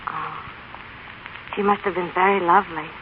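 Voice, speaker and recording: An actress in an old radio drama playing an eager, unsure small-town girl in love, speaking earnestly and haltingly and correcting herself. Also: fairly low pitch, fairly even intonation, lightly acted. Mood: tentative. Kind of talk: 1930s radio drama acting. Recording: old radio broadcast, tinny, noisy.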